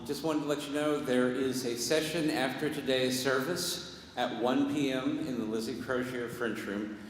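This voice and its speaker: Lay leader, male, mid-pitch, halting delivery, heavy hall echo. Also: slight background noise. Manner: halting, with restarts. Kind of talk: church announcement. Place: large church sanctuary. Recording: echoey hall with long reverb.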